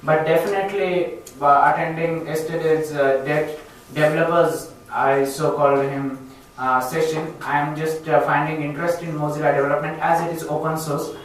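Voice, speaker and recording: A man's voice: fairly high pitch, fairly even intonation, normal speed. A man with an Indian accent giving an informal self-introduction to a group, speaking in formal, earnest phrases. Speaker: student attendee speaking at a community meetup. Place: echoey indoor event room. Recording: echoey room, distant microphone.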